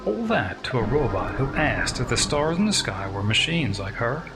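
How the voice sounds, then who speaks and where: A man narrates dramatic fiction in a mid-pitched voice. His delivery moves around expressively in pitch, and music plays strongly behind him throughout. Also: very animated, normal speed. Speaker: story narrator.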